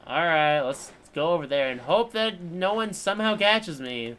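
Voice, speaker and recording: Bright-voiced, wry male game streamer. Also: fairly high pitch, lively intonation, moderate pace, clean tone. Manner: wry, sarcastic. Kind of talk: casual live gaming commentary.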